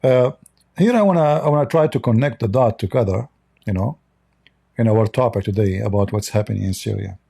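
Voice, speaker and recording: A man whose first language is Arabic talks in English with a dark, mellow tone and a husky edge. He is blunt and scornful, and he often stumbles over his sentences and repeats words. He delivers an off-the-cuff commentary monologue. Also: fairly low pitch, a little fast. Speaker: online commentator.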